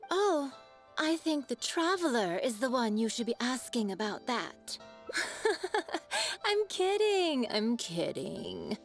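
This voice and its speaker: A woman's voice: a little high, big pitch swings, slow pace, exaggerated voice. A woman playing a teasing, self-assured young shopkeeper in a video game, with a coy, theatrical manner. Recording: background music under voice.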